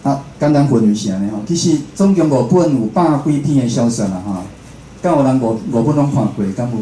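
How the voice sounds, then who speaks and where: A Taiwanese man talks to an audience in an informal, conversational way. His voice is mellow and of middling pitch. He repeats phrases and puts questions to listeners, and the room adds echo. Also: animated, a little fast. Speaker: radio host and station head giving a talk. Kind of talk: informal public lecture. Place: indoor room, speaking to an audience. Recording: roomy echo, faint background noise.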